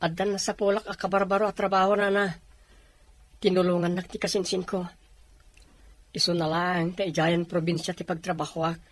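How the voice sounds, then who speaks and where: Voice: mid-range voice, flat delivery, a little fast, long pauses, dry recording, lightly acted. A voice actor playing a humble adult worker in an Ilocano audio drama, speaking conversational dialogue. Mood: earnest. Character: humble adult city worker moving to the province for a job.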